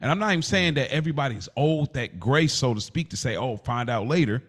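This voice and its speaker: A man's voice: medium pitch, lively intonation, fairly quick. Guy on a podcast just talking, slangy storyteller, quotes people, reasons it out casually. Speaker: podcast co-host.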